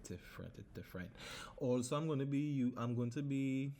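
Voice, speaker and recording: A man's voice: medium pitch, expressive, brisk, dark tone, slight background noise. A man in his thirties from Trinidad with a Trinidadian accent, hosting a casual solo podcast, swearing freely and slipping into Caribbean dialect. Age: 30s. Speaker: podcast host.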